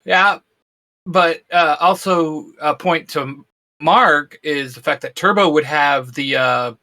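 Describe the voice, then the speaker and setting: A man co-hosting a casual podcast chat, excitable and rambling, laughing often. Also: on the high side, slow. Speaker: co-host.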